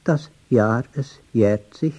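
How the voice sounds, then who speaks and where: A man's voice: mid-range voice, slow, mellow. A man reciting sound poetry in a rhythmic, chanting, playful way, dwelling on syllables.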